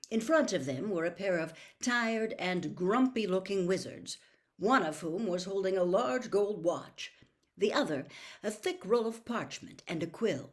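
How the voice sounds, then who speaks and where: A woman narrating a novel as a storybook reader, in a measured, careful and expressive storytelling manner. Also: mid-range voice. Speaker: audiobook reader.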